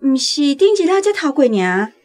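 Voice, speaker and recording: High, animated, scolding Taiwanese mother. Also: normal speed. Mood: suspicious scolding. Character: suspicious, scolding countryside mother of a small boy. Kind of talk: Taiwanese radio drama acting.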